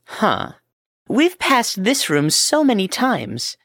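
A woman playing a boyish, stubborn young king in a children's story, with a whiny, drawn-out delivery. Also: mid-range voice, wildly swooping pitch, fairly bright tone, no echo, studio quality, exaggerated voice. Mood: weary, curious. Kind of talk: children's audio story acting.

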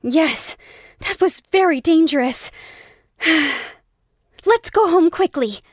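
A woman playing a playful, curious, kind little girl in an expressive children's storybook drama. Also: extremely high pitch, slow, no echo, studio quality, heavily acted. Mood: earnest.

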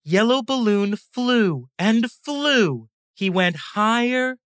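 A woman reading a children's bedtime story as a scripted, animated narrator, acting out the sound words. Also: fairly high pitch, slow, no echo, studio quality.

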